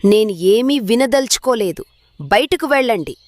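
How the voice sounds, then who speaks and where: A woman's voice: on the high side, lively intonation, unhurried, darkish tone, dry recording. A woman voicing a greedy, domineering older mother-in-law bird in a children's cartoon story, bossy and scolding. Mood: harsh, scolding. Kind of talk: children's animated story dialogue.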